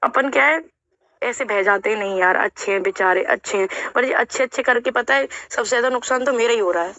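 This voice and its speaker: A woman's voice: fairly high pitch, fast, pushed and bright, no echo. A woman speaking emotionally and insistently in a heated personal phone conversation, sounding aggrieved. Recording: dull, lo-fi recorded phone call.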